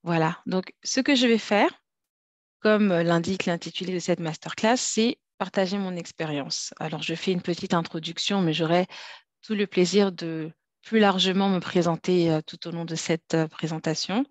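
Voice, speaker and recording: Lady from france giving an online class, lowish voice, dry close sound. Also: steady tone, no echo. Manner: polite, measured, structured, some fillers. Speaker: guest speaker, trainer. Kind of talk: online masterclass presentation. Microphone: video call.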